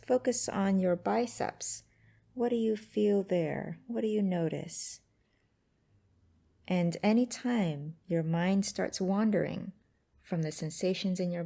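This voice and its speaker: A woman guiding a mindfulness exercise in a gentle, unhurried, instructive way with pauses between phrases. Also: on the low side, gravelly. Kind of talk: guided meditation narration.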